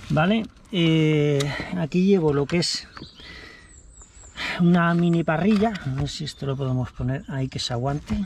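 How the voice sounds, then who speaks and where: A man's voice: fairly high pitch, vocal fry, background noise. A man, casually showing his kit outdoors by his car, chatty and repeating himself as he talks to an action camera held at arm's length. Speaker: vlogger. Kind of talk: casual show-and-tell vlog.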